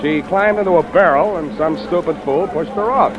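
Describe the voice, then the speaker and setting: A man's voice: fairly high pitch, moderate pace, over loud music, lightly acted. A man playing a wry, world-weary hardboiled detective, dry and wisecracking, in 1940s radio noir style. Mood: wry narration. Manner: dry, wisecracking, hesitant drawn asides. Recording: dull vintage radio recording, loud background.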